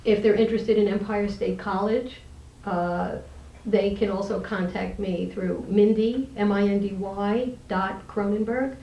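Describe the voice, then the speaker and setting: A woman's voice: medium pitch, steady tone, unhurried, dull audio. A woman professor as an interview guest, speaking in an articulate, earnest, explanatory way. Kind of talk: thoughtful interview conversation. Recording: roomy, distant microphone.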